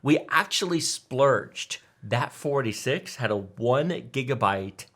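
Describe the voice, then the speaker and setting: Male co-host, 40s, casual live stream storyteller with very lively, swooping intonation and emphatic, enthusiastic delivery. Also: medium pitch, slow pace. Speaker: tech show co-host.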